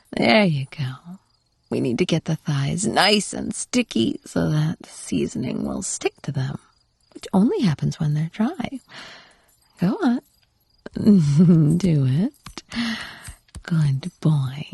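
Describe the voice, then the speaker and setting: A woman playing a doting, possessive, teasing wife in an intimate audio roleplay, cooing in a breathy voice that often drops toward a whisper. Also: sits low, a little mellow, no room sound, exaggerated voice. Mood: coaxing, pleased. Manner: coaxing, cooing pet names, unhurried murmuring.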